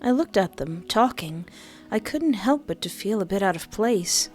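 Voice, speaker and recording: A woman's voice: normal speed, soft background music. A woman reading a visual novel aloud, voicing the narration and the dialogue expressively. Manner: expressive, shifts pitch for each character.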